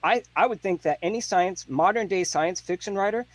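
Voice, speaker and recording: A man's voice: sits high, a little fast, dry recording. Frustrated fifty-year-old man, dry sound. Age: 50s. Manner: frustrated, casual, rambling. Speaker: debate guest. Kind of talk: heated debate.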